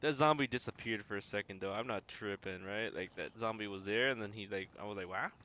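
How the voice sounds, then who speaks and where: A man's voice: on the low side, fairly quick, bright, forceful tone. A man streaming a video game, giving casual running commentary and often muttering to himself as he plays. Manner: muttering to himself while playing.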